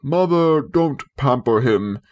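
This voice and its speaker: A man's voice: mid-range voice, lively intonation, slow, darkish tone, voice pushed up. Man acting a pompous, sulky storybook father. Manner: blustering, self-important. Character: pompous, boastful, sulky middle-aged father. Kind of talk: storybook character voice.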